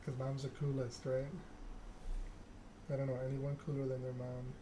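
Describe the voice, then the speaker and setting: A man streaming a game in soft ASMR style, reading story text aloud and chatting casually with viewers. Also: mid-range voice, monotone, moderate pace, noisy background. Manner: reads narrative text aloud, chats with viewers.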